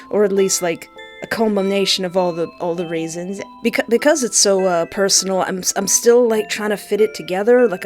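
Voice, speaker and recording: Woman doing excitable playthrough chat, stammers when worked up, avg pitch, music in the bg. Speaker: game developer playing a visual novel. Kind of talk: excitable casual playthrough commentary. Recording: music playing behind the voice.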